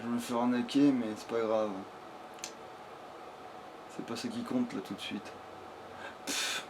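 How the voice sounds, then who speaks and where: A man's voice: mid-range voice, steady tone, a little slow. A man giving casual, improvised video game commentary in a crude, swear-heavy way. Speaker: let's player. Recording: clearly noisy background.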